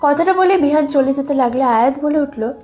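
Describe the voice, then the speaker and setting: A woman's voice: high-pitched, fairly quick, dark tone. A young woman reading scripted narration for a dramatized love story. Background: background music.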